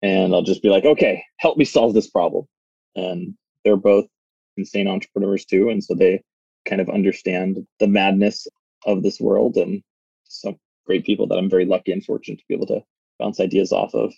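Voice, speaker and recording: A man's voice: deep voice, steady tone, moderate pace, creaky, warm tone, slightly rough, no echo, lo-fi sound. A man in his thirties, a startup founder, talking in a rambling, casual way with lots of "like" fillers, recorded in a small phone booth. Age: 30s. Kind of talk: casual interview chat.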